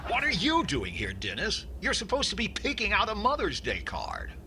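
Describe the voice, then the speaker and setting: Man playing fussy radio comedy host, lively pitch. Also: normal speed, slight background noise, heavily acted. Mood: exasperated. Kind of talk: 1950s radio comedy acting.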